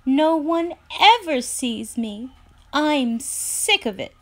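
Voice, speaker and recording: Eager little chameleon for a storybook, female reader: raised pitch, singsong, very animated. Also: slow pace, full character voice. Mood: fed up. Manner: loud singsong calling. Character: eager little chameleon who longs to be noticed.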